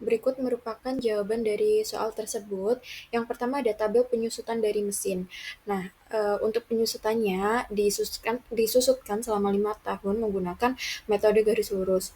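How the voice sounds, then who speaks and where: A woman's voice: mid-range voice, steady tone, normal speed, dark tone, breathy edge. A young woman, reading out a student presentation in a formal, methodical way. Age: young adult. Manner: formal, methodical read-out delivery. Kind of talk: reading out a worked accounting example.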